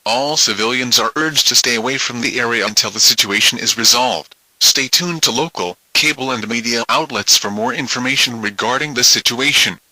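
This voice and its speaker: A man's voice: mid-range voice, normal speed, hardly any pauses, sharp tone, dry recording, low-quality recording. A man reading a scripted emergency bulletin as an announcer, formal and impersonal.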